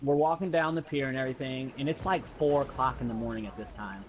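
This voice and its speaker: A man's voice: mid-range voice, quick pace, slight background noise, poor audio. American man telling a casual story. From Muskegon, Michigan, USA. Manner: animated retelling with acted-out dialogue and slangy swearing. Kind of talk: casual personal storytelling while gaming.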